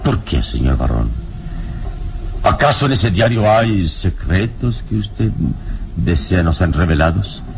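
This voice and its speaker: An actor playing a wise adventurer hero in an old radio serial, measured, solemn and probing. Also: male voice, low voice, very animated, gravelly, a little hiss or hum, heavily acted. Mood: politely suspicious. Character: calm, probing heroic adventurer, wise master.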